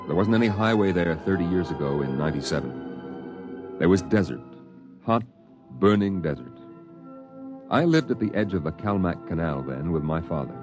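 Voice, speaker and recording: An actor playing a reflective man recalling his boyhood, narrating an old-time radio drama in a grave, measured, dramatic way. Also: low-pitched, lively intonation, dull audio, music in the background. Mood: somber, nostalgic.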